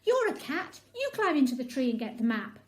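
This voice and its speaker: A skinny little boy dog from a bedtime story, acted out by a woman. He is high-voiced and excitable, bossing his friend about in eager exclamations that leap up and down. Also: a little fast. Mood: bossy.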